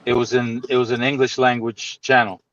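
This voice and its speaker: A man's voice: mid-range voice, level tone, fairly quick, fairly bright tone, no echo. A man from Puerto Rico chatting casually on a stream, often repeating his questions and asking for clarification. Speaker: stream guest. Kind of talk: casual chat. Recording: dull lo-fi remote audio.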